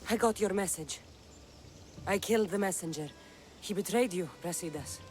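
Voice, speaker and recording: An actress as a fierce, foul-mouthed mercenary. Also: female voice, lowish voice, normal speed, a little mellow, soft background music, lightly acted. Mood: dry. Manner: blunt, threatening, dry. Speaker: mercenary hero.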